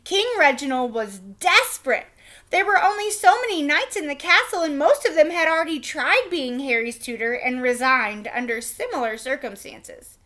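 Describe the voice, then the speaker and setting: Bright, animated woman reading aloud. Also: high voice, moderate pace. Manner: expressive, animated storytelling. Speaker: teacher. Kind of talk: reading a storybook aloud to children.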